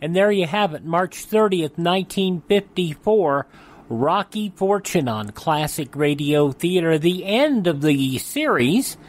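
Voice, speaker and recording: A man's voice: a little high, lively intonation, unhurried. A man presenting a radio show as its host, partly from a script.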